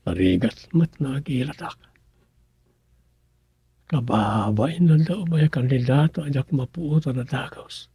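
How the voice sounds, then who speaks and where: A man with an Ilocano Filipino accent playing a musing, grumbling local man in a radio comedy drama, his voice husky and gravelly. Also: mid-range voice, unhurried, pauses often, dark tone, no echo. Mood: muttering.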